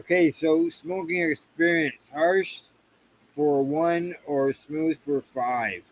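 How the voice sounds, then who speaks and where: A man's voice: fairly high pitch, steady tone, takes it slowly, poor audio. A man hosting a casual panel chat, reading out judging questions and often stumbling and restarting his words. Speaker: show host.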